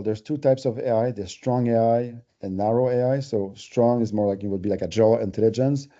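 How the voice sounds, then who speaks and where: A man's voice: mid-range voice, dark tone, dull audio. A man with a French Canadian accent, giving a conversational, explanatory webinar presentation with everyday examples. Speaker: company presenter.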